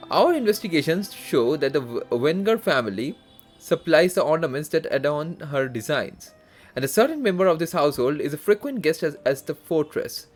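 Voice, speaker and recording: A male streamer with a fairly high voice reads game text aloud in a lively, varied tone. He stumbles and corrects himself, dropping in casual asides. Faint music plays behind him. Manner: halting, stumbles and corrects himself while reading. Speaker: live streamer.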